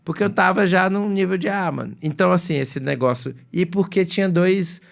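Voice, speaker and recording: Gravelly Brazilian man telling stories. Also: high-pitched, a little fast. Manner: animated storyteller, slangy. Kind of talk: casual chat.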